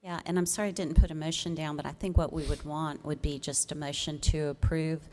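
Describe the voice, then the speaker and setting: A woman speaks as a staff member at a public meeting. She explains things informally and accommodatingly, pausing to find her words. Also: sits low, steady tone, darkish tone. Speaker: city staff presenting cases to a review commission.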